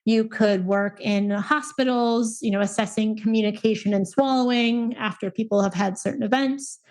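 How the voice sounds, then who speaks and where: A woman's voice: on the high side, steady tone, normal speed, darkish tone. A woman speech pathologist answering interview questions in an easygoing, thoughtful way, with frequent fillers and restarts. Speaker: guest, speech pathologist and researcher.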